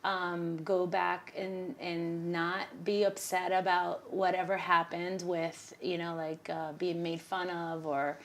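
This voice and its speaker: A Puerto Rican woman, a Spanish teacher in her fifties or sixties, talking in an animated, teacherly way as a podcast guest. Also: on the low side, slow pace, hardly any pauses. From Puerto Rico. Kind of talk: casual podcast interview.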